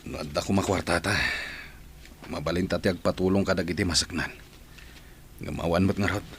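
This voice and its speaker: A man's voice: sits low, fairly even intonation, a little fast, slight background noise. A man playing a remorseful, defeated father in a radio drama, talking in a heavy, regretful manner. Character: remorseful, defeated father longing for his children. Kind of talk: Ilocano radio drama acting.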